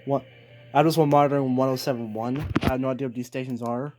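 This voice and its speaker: A man's voice: mid-range voice, fairly even intonation, quick pace, music in the background. A man giving a casual, unscripted show-and-tell as a collector, rambling and backtracking as he goes. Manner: rambling, backtracks and repeats himself. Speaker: collector presenting weather radios. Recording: noisy home recording with clicks.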